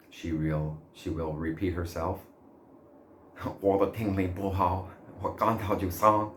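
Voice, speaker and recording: A man with a very deep, flat voice talks slowly and deliberately in a casual vlog monologue. He pauses often and laughs softly now and then. The sound is roomy, with a faint hum behind him. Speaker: language learner vlogger.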